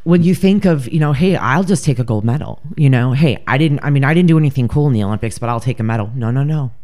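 Deep-voiced woman, blunt and profane. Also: expressive, fast talker, warm tone. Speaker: podcast co-host. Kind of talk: casual true-crime chat.

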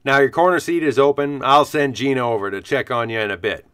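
An actor playing an avuncular, knowing bar owner in a comic radio play, with folksy patter. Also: male voice, mid-range voice, animated, quick pace, fairly bright tone, slight background noise, close to an everyday voice. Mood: genial, knowing. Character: avuncular male bar owner serving retired and rookie superheroes. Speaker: actor in a comedy audio drama. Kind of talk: comic radio theatre acting.

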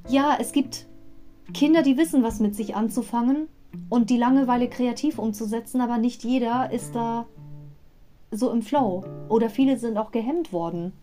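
A woman's voice: on the high side, normal speed, warm tone, soft background music. A woman hosting a fan podcast, retelling a children's audio story in a chatty, digressive way with personal asides. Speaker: podcast host.

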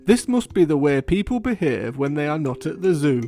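A man voicing a gentle, polite male lion who is puzzled and speaks with soft courtesy. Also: a little high, very animated, normal speed, soft background music. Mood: musing. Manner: bewildered, softly courteous.

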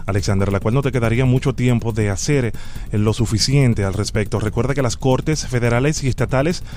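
A man's voice: medium pitch, fairly quick, a little mellow, a little hiss or hum, natural voice. A man playing a formal, bureaucratic immigration officer in a prank phone call, sternly polite and officious. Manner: formal, officious, repeats the name.

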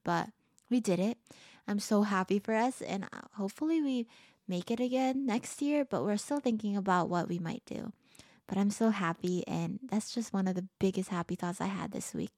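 A woman's voice: mid-range voice, normal speed, darkish tone, no echo, studio quality. A teenage girl hosting her own podcast, chatting casually and upbeat with lots of filler words, her voice a little tired. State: a bit tired, voice lower than usual. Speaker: podcast host. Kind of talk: casual solo podcast chat.

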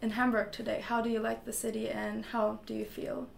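Teenage girl with a flat, gravelly voice. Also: on the high side, a little fast, slight background noise. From Germany. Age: teens. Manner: hesitant, with many "um" fillers. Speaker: interviewer. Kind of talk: interview questions. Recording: echoey room.

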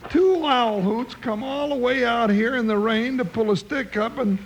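An actor playing a folksy, hungry, good-natured but grumbling cowboy sidekick with an American Western drawl, in old-time radio style. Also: male voice, moderate pace. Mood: indignant. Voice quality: high-pitched comic voice. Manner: folksy, exclaiming, hesitant and bewildered. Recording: noisy vintage radio recording.

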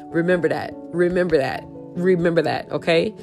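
A woman podcast host with a raspy voice, talking casually and emphatically, repeating key points. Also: fairly low pitch, normal speed, a little mellow, faint music behind. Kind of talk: casual solo podcast talk.

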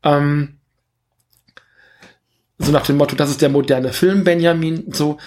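A man's voice: a little high, steady tone, unhurried, a little mellow. A man in a casual film review discussion as podcast co-host, making his points emphatically and repeating them.

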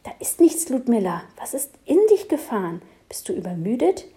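A woman voicing a calm, protective young male shapeshifter in a storybook, speaking urgently and hushed. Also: a little high, moderate pace.

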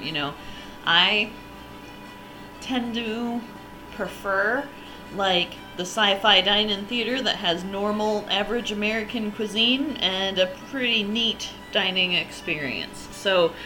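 A woman hosts a solo podcast, her voice a little raspy and hoarse, yet bright and forward. She talks in an eager, rambling way, full of fillers. Soft music sits faintly under her voice. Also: medium pitch, rough recording. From northern region. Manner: chatty, enthusiastic, rambling with fillers. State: slightly hoarse from allergies. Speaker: podcast host. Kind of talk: casual solo podcast review.

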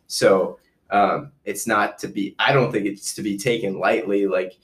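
A man's voice: fairly low pitch, steady tone, measured pace, fairly bright tone. A man hosting a casual interview, earnest and repeating his points with frequent ums. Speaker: podcast host.

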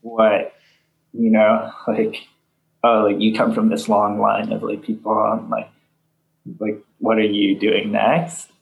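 A young man from Canada, a band member answering interview questions in soft, halting casual talk full of fillers. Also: fairly low pitch, completely flat delivery, vocal fry, phone-quality sound. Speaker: band leader, interview guest. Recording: echoey room.

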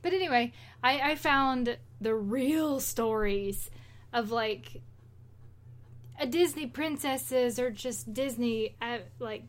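A woman's voice: fairly high pitch, takes it slowly. A woman co-hosting a podcast, chatting casually and retelling stories in an informal, rambling, conversational way. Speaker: podcast co-host.

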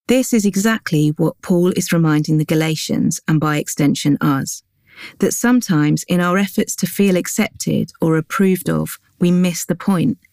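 A woman giving a calm, measured scripted devotional reading that moves into a guided prayer. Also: on the low side, dry recording, clean studio sound. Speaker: devotional reader.

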